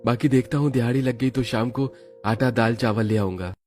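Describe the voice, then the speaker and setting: A man's voice: medium pitch, normal speed, a little mellow, soft background music, natural voice. A man voicing a poor, worried labourer and father in a cartoon moral story, plaintive and earnest. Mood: worried, hopeful. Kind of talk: Hindi animated moral story acting.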